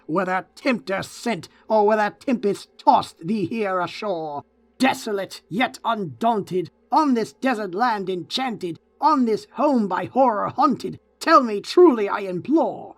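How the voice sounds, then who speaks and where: A man's voice: high-pitched, vocal fry, pitched above the performer's own voice, exaggerated voice. A man playing an anguished, haunted, grieving man in a gothic poetry reading, crying out in impassioned, theatrical pleas. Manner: impassioned, pleading, theatrical cries.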